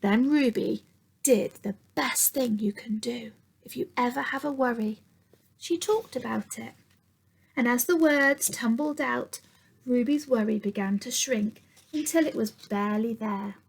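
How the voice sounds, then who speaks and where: A woman reading a picture book aloud like a teacher to young children, expressive, sometimes dropping to hushed tones and putting questions to her listeners. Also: fairly high pitch, a little slow, vocal fry, breathy edge, low-quality recording. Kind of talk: children's storytime read-aloud.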